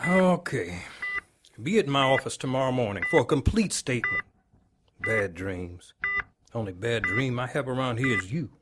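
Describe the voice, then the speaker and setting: Brusque police lieutenant, skeptical, sardonic, lively swooping intonation, audio drama, noisy background. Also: female voice, extremely low pitch, normal speed. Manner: blunt, sardonic.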